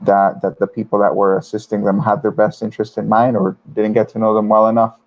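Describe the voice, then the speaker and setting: A man from Venezuela in his thirties, a financial advisor talking haltingly with many restarts in a live webinar interview. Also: lowish voice, monotone, a little mellow. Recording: dull lo-fi webinar audio.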